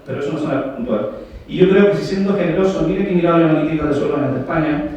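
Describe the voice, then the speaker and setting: A man's voice: fairly high pitch, racing, darkish tone. Chilean man lecturing in an echoing hall. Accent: Chilean Spanish. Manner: explanatory, frequent "¿vale?" checks, rhetorical questions to the audience. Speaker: agronomy speaker. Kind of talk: technical conference talk. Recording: very echoey hall, voice heard from far off.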